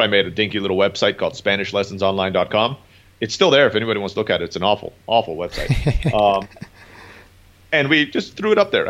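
Fast-talking man on dull call audio. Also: fairly low pitch, a bit bright. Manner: fast, rambling, with many fillers. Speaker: guest entrepreneur. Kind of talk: relaxed storytelling interview.

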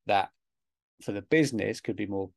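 A man hosting a casual interview, asking reflective questions with halting restarts. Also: fairly low pitch, gravelly. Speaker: interviewer, host.

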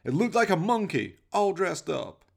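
Radio play detective, performed by a man: baffled, hesitant, plain-talking policeman, agitated, higher-pitched. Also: very animated, normal speed, creaky, background noise, full character voice. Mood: sheepish, baffled. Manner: hesitant, colloquial, sweary. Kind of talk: radio play character acting.

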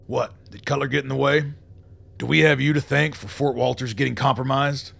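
An actor playing an angry, suspicious military colonel in a radio play, gruff and profane as he interrogates. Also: mid-range voice, fairly even intonation, brisk, a bit bright. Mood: hostile, accusing. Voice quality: gravelly.

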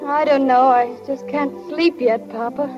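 A woman's voice: high voice, steady tone, a little slow, lo-fi sound. A woman acting an earnest small-town character in a 1940s radio drama. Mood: dreamy, hushed. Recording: 1940 radio broadcast with music behind.

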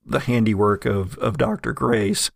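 A man narrating as game master of a tabletop game in a relaxed, rambling way. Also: on the low side, a little slow, vocal fry, no echo, clean studio sound. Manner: relaxed, rambling, with many fillers.

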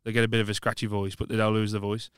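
A man's voice: fairly low pitch, completely flat delivery, rapid-fire, a bit bright, clear, resonant tone, no echo, clean studio sound. A man chatting candidly as a podcast guest. He talks about his singing in a rambling way, with lots of restarts. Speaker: podcast guest, lead singer of a band. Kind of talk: casual chat.

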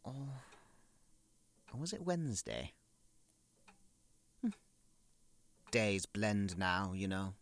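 A man's voice: lowish voice, sing-song, slow pace, long pauses, full character voice. A man playing a fussy, pompous, middle-aged British son with petty grievances, in a comic dramatic monologue. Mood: fussy, gossipy. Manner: prim, pompous, florid wording, prone to indignation.

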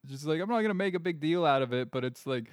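A man's voice: a little high, animated, fast, no echo, clean studio sound. A man in his thirties, a comedian guest on a podcast, rambling in a self-deprecating, mock-earnest way. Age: 30s.

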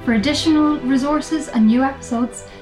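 A woman's voice: sits high, moderate pace. A woman reading the scripted show introduction in a polished, upbeat way. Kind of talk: scripted promo read. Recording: echoey room with music bed.

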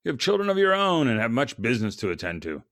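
Man voicing a brisk, practical Victorian editor. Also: medium pitch, wildly swooping pitch, a bit bright, dry recording, studio quality, voice pushed up. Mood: consoling.

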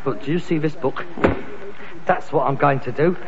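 An actor as a theatrical, high-pitched London son. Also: male voice, moderate pace, background noise, natural voice. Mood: scheming, persuasive. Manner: theatrical, sarcastic, indignant. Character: frustrated grown-up son, junk trader with big ideas. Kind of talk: 1960s British sitcom acting. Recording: dull, muffled old sitcom recording.

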